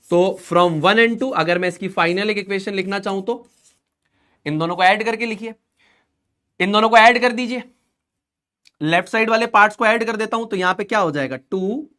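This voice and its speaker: A young man teaching chemistry in a live class. He talks through each step in a relaxed, chatty way and keeps stopping to check that students are following along. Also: sits high. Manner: chatty, asks checking questions. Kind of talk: online class lecture.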